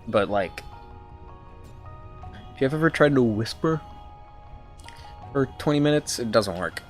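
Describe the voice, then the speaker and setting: A young man streaming a video game, talking to his chat in a soft whisper with mouth noises, his throat sore from whispering. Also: medium pitch, lively intonation, measured pace, faint music behind. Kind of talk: casual gaming commentary, trying ASMR-style whispering.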